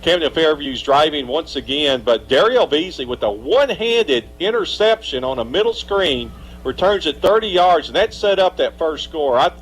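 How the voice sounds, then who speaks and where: A man announcing a high school football game on live radio, chatting through scores and interviewing the coach. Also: expressive, normal speed, poor audio. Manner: upbeat, conversational, asks long setup questions. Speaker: play-by-play announcer. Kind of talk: live sports radio commentary.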